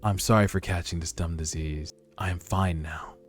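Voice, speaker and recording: Frail, apologetic webtoon mother read by a man in his own low register, subdued, music behind. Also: fairly even intonation, moderate pace. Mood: gentle, subdued. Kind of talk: webtoon read-aloud dub. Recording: background music under voice.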